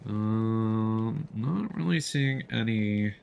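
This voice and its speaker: A man's voice: fairly low pitch, expressive, very slow, gravelly, muffled recording, a little hiss or hum. A man streaming a video game, giving casual live commentary and explaining tactics step by step as he plays. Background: video game sound.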